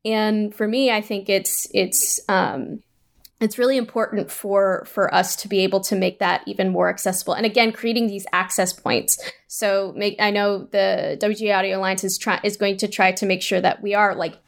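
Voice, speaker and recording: A woman speaking casually as an interview guest, halting and repeating words as she works toward her point. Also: mid-range voice, fairly even intonation, creaky. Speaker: indie audio drama creator, interview guest. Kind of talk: casual unscripted interview talk.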